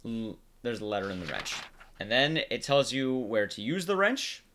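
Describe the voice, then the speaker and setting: A man's voice: mid-range voice, very animated, normal speed, a bit bright. Man giving casual game commentary, noisy recording. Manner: informal, explanatory, addresses viewers directly.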